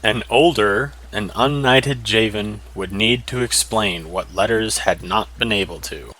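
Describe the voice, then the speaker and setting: A man narrating a fantasy short story in an even, descriptive manner. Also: on the low side, unhurried, rough recording.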